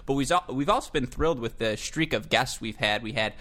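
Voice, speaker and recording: A man's voice: mid-range voice, lively intonation, pushed and bright. Chatty young male podcast host. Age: 20s. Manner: chatty, self-deprecating, quick asides. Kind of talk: casual sports podcast interview.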